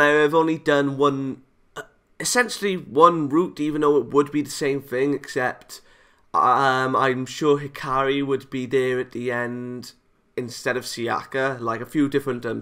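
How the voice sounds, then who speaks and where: A man hosting a gaming video, reading a visual novel aloud and giving casual, rambling commentary in a halting way. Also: medium pitch, vocal fry, bright, forceful tone.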